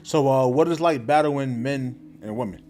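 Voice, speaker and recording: A man's voice: medium pitch, normal speed, dark tone, soft background music. A man hosting a casual podcast, asking easygoing questions with short affirmations. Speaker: podcast host. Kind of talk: casual interview.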